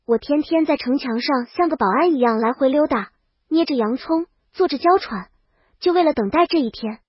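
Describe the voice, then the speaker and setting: Woman storyteller, high voice, comic first-person narration, very dry but dull lo-fi sound. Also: lively intonation, normal speed, no room sound. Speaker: audiobook narrator.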